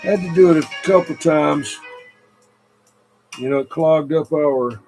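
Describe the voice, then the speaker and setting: Slow, rambling man on CB radio. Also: a little high, gravelly, dark tone, soft background music. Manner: rambling, folksy. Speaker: CB radio operator hosting a radio night show. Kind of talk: casual radio chat.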